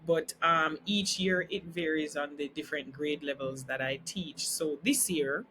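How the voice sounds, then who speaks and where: A woman's voice: sits low, a little slow, fairly bright tone, slight background noise, poor audio. A Jamaican woman whose first language is Jamaican Creole, a teacher speaking earnestly as a podcast guest and switching into Creole now and then. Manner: earnest, explains carefully, slips into Creole. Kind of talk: casual podcast interview.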